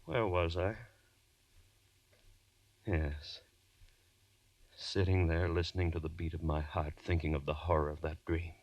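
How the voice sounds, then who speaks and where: Haunted condemned man, low dry voice, feverish and halting narration, 1940s radio horror. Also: fairly even intonation, darkish tone, dry recording, lo-fi sound. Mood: tormented. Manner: theatrical, halting, feverish first-person narration. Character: haunted condemned man recounting his nightmares, terrified, desperate. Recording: 1940s radio broadcast recording.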